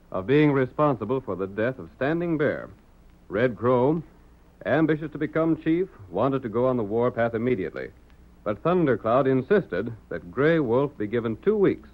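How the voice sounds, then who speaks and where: A man narrating a radio western as announcer, in dramatic scripted 1930s style. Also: medium pitch. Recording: muffled, band-limited 1930s radio recording.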